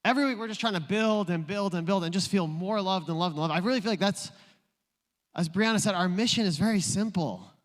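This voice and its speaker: A male pastor preaching a sermon in a playful, joking storytelling way. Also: high-pitched. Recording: long reverb in a large room.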